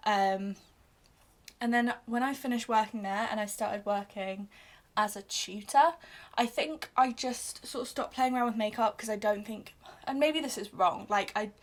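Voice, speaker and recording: A young British woman chatting casually while doing her makeup on camera, self-deprecating, with frequent fillers. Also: medium pitch. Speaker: beauty vlogger. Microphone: camera in front of her.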